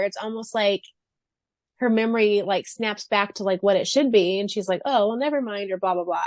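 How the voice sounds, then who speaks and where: A woman's voice: medium pitch, a little mellow, no echo. A woman podcast co-host chatting casually about a book in an informal, jokey way, mimicking imagined lines. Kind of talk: casual book-discussion chat.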